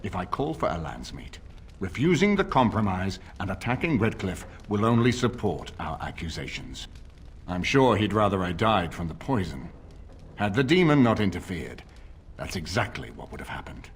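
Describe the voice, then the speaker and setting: An actor playing a grave, middle-aged nobleman in a fantasy game, speaking formally and with measured authority. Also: sits low, sing-song, a little hiss or hum. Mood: stern, commanding. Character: grave older nobleman lord rallying allies against a usurper. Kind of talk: video game voice acting, dramatic dialogue.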